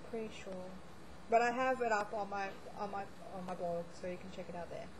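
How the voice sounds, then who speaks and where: A woman's voice: medium pitch, brisk, slight background noise, low-quality recording. A woman starting to lose her voice, rambling chattily through a reading wrap-up with lots of fillers and restarts. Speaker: book blogger. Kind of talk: casual monthly reading wrap-up vlog.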